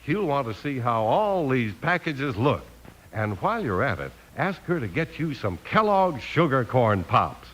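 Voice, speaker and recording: Lively American radio announcer man. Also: medium pitch, rough recording. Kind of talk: 1950s radio announcing, scripted. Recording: hissy old radio recording.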